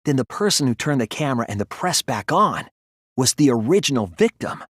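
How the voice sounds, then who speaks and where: A young detective student from a video game, earnest and analytical. His voice is slightly rough and breathy, moving through lively swings of pitch as he lays out each deduction with care. It is captured close and dry. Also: brisk, no echo. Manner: careful, reasoning step by step.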